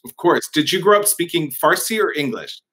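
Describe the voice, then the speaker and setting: An enthusiastic man co-hosts the interview, eager to affirm his guest. His voice sits a little high. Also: expressive, brisk, fairly bright tone, slightly rough. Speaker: co-host interviewer. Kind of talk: interview questions.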